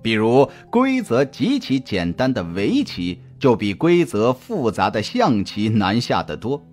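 A man narrates a suspense novel with deliberate, dramatic expression. His voice is husky and sweeps widely in pitch. Soft music runs behind the storytelling. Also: wildly swooping pitch. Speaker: audiobook narrator. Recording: music under the voice. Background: background music.

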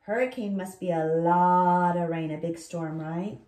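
A woman's voice: on the low side, fairly even intonation, measured pace, mellow. A woman in her forties hosting a children's story time, reading expressively and asking leading questions. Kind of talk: picture-book read-aloud with chat for young children. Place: indoor room at home with bookshelves. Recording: roomy home recording.

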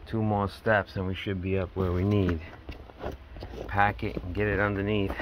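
A man's voice: sits low, flat delivery, normal speed, muffled recording, slight background noise. A middle-aged man filming his own home building project on his phone outdoors, giving a casual, rambling walkthrough. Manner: plainspoken, rambling, working-man talk. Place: outdoors at a house, in a dug-out basement stairwell. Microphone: handheld phone camera.

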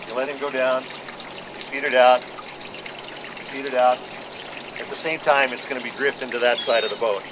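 A man's voice: mid-range voice, fairly even intonation, moderate pace, pauses often. A middle-aged man, a fishing boat captain, giving a practical, plain-spoken briefing to a group on the deck of a docked boat. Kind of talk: instructional briefing to a charter group. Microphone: camera mic from a few feet away. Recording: outdoor camera audio with clear background noise.

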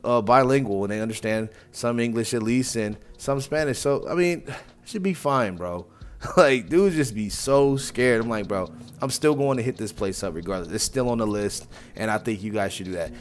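A man's voice: mid-range voice, expressive, normal speed, faint music behind. Laid-back young man mocking on mic. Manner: casual and mocking, says "bro" often. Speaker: reaction channel host. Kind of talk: casual reaction commentary. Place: indoors, small room at home. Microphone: wired earphone mic.

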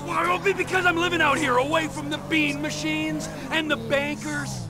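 An unhinged North American outlaw from a video game. His voice is high and full of gravelly creak, with sarcastic, indignant, emphatic delivery and loud music underneath. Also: flat delivery, normal speed. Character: volatile, foul-mouthed, unhinged male outlaw.

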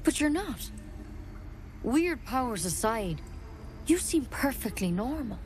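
A woman playing an earnest, knowledgeable druid in a video game, speaking in a frank, measured, explanatory way. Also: on the high side, big pitch swings, darkish tone, slight background noise. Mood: grave, explaining. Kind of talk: video game dialogue acting.

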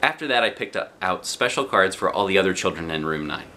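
Male storyteller, middle-aged: theatrical, very animated, bright delivery for a children's read-aloud. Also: low voice, fast talker. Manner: theatrical, playful emphasis. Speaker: storyteller reading a children's book. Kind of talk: expressive read-aloud narration. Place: small living room, indoors. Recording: faint background room noise.

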